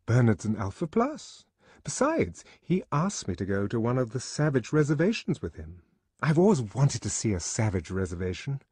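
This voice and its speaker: Man voicing a breezy, defiant young woman, pitched up. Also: huge pitch swings, moderate pace, darkish tone, slightly rough, no echo. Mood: forced casual. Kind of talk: audiobook character acting.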